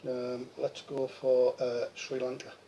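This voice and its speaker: A man's voice: mid-range voice, monotone, slow, dark tone, slight background noise. A man answering quiz questions and making casual remarks as a contestant.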